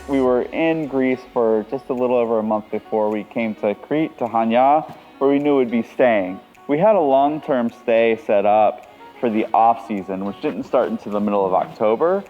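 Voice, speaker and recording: A man's voice: medium pitch, dark tone, poor audio. A man from the United States chatting casually in a travel vlog, talking easily and conversationally, recorded outdoors at a harbor. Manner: easygoing, conversational, loose with fillers. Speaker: vlogger.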